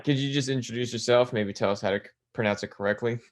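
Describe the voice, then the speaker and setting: A man hosting a school podcast, asking his guest plain, measured questions. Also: mid-range voice, fairly even intonation. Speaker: podcast host. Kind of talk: interview questions.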